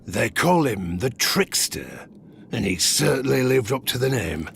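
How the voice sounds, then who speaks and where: An actor playing a grizzled old sailor, gruffly telling children a dark bedtime tale in an audio drama. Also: male voice, mid-range voice, sing-song, a little hiss or hum, full character voice. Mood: indignant. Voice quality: gravelly. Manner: theatrical, gruff, suspenseful storytelling with dramatic pauses.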